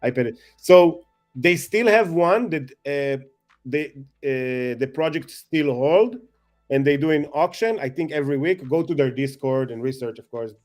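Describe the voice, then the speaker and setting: A man with a somewhat high voice talks in excited, rambling bursts as a guest. He jokes at his own expense, and his phrasing is that of a non-native speaker. Faint music plays behind him. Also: normal speed. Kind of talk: casual chat.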